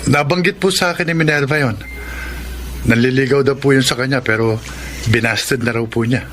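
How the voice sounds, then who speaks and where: An actor playing a polite, inquisitive young Filipino suitor in a radio drama, respectful and questioning. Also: male voice, mid-range voice, moderate pace, fairly bright tone, natural voice. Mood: curious. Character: polite, inquisitive young man courting a daughter. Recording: faint background noise.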